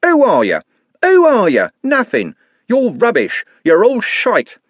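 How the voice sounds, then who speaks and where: A man voicing an angry young man, shouting scornfully. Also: high voice, sing-song, fast talker, no echo, pitched above the performer's own voice. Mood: furious. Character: young man, furious street lad.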